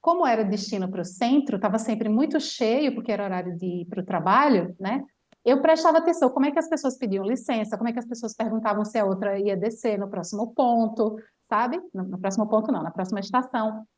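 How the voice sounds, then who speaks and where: A woman with a Brazilian Portuguese accent, a language teacher telling a lively travel story in casual chat, full of fillers. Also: a little high, fast talker. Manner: animated storyteller, chatty with many fillers.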